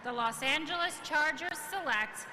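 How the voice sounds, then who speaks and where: A woman's voice: sits high, moderate pace, music in the background. A woman making a formal draft pick announcement on stage, heard from a distance through a broadcast feed. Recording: echoing hall, distant feed, clear noise.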